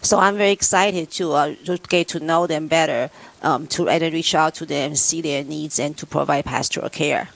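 Low, gravelly-voiced woman guest. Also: steady tone, dry recording, poor audio. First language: Mandarin Chinese. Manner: plain, earnest, many pauses. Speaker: guest in pastoral ministry. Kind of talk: radio interview answers.

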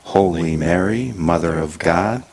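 Low-voiced male radio host. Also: a little mellow. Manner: halting, many fillers when ad-libbing. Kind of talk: leading prayer and introducing guests.

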